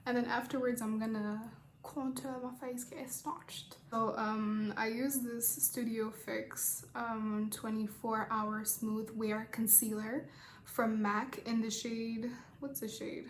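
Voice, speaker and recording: A woman's voice: on the high side, little pitch movement, takes it slowly, a little hiss or hum. Laid-back young woman in echoey room. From Rwanda. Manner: laid-back, rambling, swears casually, many fillers. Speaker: beauty vlogger. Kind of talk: casual get-ready-with-me makeup chat and Q&A.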